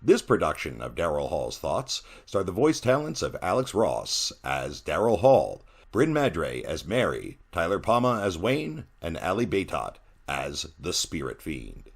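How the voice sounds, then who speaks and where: A man's voice: deep voice, huge pitch swings, unhurried, vocal fry, hardly any pauses, slightly rough, no room sound. A man reading scripted credits as a podcast announcer. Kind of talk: scripted narration, show credits.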